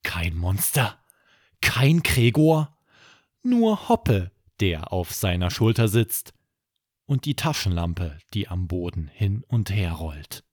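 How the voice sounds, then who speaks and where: A man narrating a scripted children's bedtime story as podcast host, with expressive, dramatic delivery. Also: low-pitched, slow, no room sound, studio quality.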